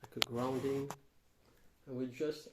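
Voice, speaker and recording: Very slow-talking man guiding meditation. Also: medium pitch, noisy background. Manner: calm, unhurried, long pauses between short phrases. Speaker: meditation teacher. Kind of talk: guided meditation. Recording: roomy, echoing sound.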